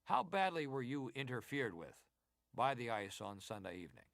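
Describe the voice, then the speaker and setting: An actor playing a senator who questions a witness formally and deliberately in a dramatized historical hearing. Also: male voice, medium pitch, big pitch swings, vocal fry, a bit bright, no room sound, clean studio sound. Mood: formal, probing. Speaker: actor reading hearing testimony.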